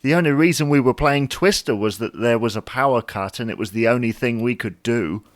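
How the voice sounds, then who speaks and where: A man's voice: mid-range voice, a little fast, no echo, natural voice. A man playing a dry, reasonable but exasperated husband in an audio drama. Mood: defensive.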